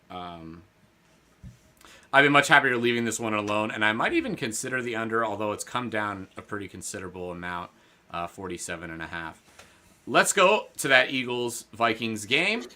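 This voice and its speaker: Man, co-host, very bright voice, casual betting chat, rambling with fillers. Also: fairly low pitch. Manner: loose and rambling, many fillers and restarts. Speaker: podcast co-host.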